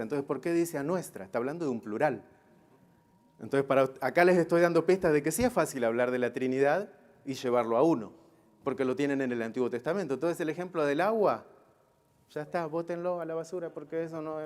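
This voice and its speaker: A man's voice: a little high, a little mellow. A man teaching a Bible class in a didactic way, posing rhetorical questions and often checking that his listeners follow. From Israel.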